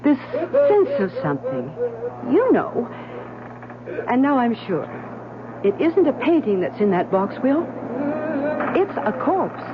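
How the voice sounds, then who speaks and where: A curious wife convinced something is wrong aboard ship, urgent and suspicious in a vintage radio drama. Her voice swings up and down a lot. It comes through a tinny, narrow old broadcast with steady hiss. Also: on the high side, slow pace, pauses often, full character voice. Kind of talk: 1970s radio drama acting.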